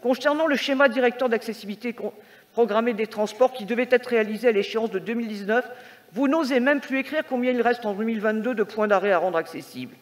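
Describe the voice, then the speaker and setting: A woman's voice: on the high side, fast. A woman reading a prepared, formal and critical political speech as a councillor at a council meeting. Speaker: metropolitan councillor. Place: large indoor council chamber. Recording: reverberant council hall sound.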